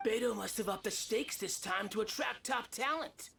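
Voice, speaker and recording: Bright, creaky gossipy onlooker. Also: female voice, on the high side, moderate pace. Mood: wry. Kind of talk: video game voice acting.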